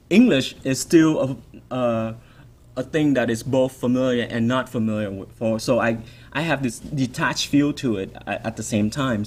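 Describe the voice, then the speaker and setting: A man's voice: mid-range voice, darkish tone. Vietnamese-born man answering questions at a podium. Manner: thoughtful, many ums and restarts, laughs easily. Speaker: author answering audience questions. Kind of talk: public Q&A. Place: lecture room at a podium. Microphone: podium mic.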